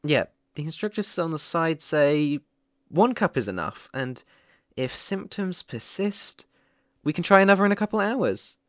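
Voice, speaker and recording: A man's voice: on the high side, very animated, a little slow, no echo. A young man playing a caring, playful boyfriend looking after his sick partner in an intimate, soothing ASMR roleplay, close to the microphone. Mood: cheerful, caring. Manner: gentle, soothing, affectionate, chuckling often. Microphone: close microphone, dry.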